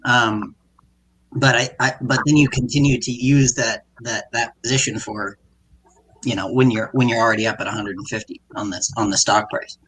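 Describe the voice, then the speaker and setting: A man's voice: medium pitch, steady tone, normal speed, a bit bright, husky, rough recording. A man on a live panel talk show who rambles through his points with many restarts. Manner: rambling, full of fillers and restarts.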